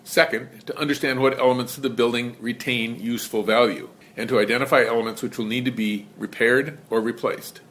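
A man presenting an educational lesson in measured, scripted narration. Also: mid-range voice, fairly bright tone. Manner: measured, explanatory.

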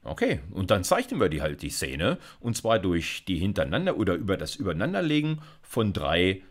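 Deep-voiced, very animated lecturer, a man. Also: fairly quick. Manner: informal, conversational, with frequent check-in tags. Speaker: university computer science lecturer. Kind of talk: explanatory lecture to camera.

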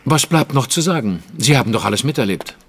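An actor playing a composed, secretive Victorian detective in an audio drama, speaking formally and with dry brevity. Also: male voice, medium pitch, animated, quick pace, a bit bright, husky. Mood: reserved. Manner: formal, composed, dryly laconic.

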